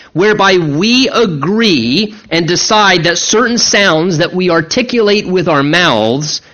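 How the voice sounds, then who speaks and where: A man's voice: fairly high pitch, fairly bright tone. A male pastor from the United States preaching a teaching sermon, asking his congregation questions as he explains scripture. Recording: muffled lo-fi sermon recording.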